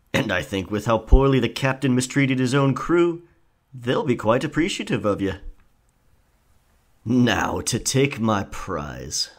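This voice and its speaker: A man playing a cocky, sly pirate rogue in an audio roleplay, with theatrical, taunting and charming delivery. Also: fairly low pitch. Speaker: ASMR roleplay performer. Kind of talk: pirate ASMR roleplay acting.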